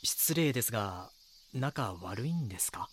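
An actor playing a young magazine reporter in an audio drama, polite, earnest and persistent. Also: male voice, medium pitch, animated, measured pace, a bit bright, a little hiss or hum. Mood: polite, probing. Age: young adult. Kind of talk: audio drama acting with inner monologue.

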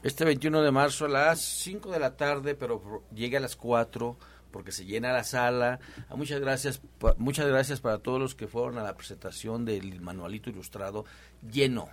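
A man's voice: medium pitch, moderate pace. A man hosting a promotional health talk, speaking loosely with many repeats and fillers. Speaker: host of a health show.

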